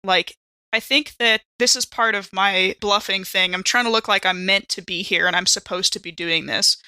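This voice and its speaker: A woman's voice: mid-range voice, normal speed, sharp tone, no room sound. A woman improvising casually as a player in a horror roleplaying game.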